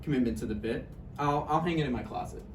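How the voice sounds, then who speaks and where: A nervous, eager-to-please boyfriend played by a woman in a play reading, with hesitant, conversational delivery. The voice is low and heard from a distance in a roomy space with faint noise. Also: quick pace. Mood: defensive. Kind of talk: table read of a stage play.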